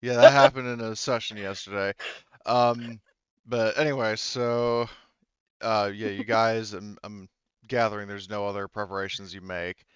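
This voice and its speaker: A man running a tabletop roleplaying game as game master, talking casually and haltingly, with informal wording and some swearing. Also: on the low side, steady tone, clean tone, no room sound. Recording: dull, lo-fi audio.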